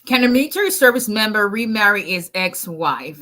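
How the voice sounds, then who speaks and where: Nigerian lady attorney doing a live q&a, big strong bright voice. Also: medium pitch, animated, fairly quick. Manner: loud, strong voice, laughs often. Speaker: immigration attorney. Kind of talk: livestream Q&A reading viewer comments.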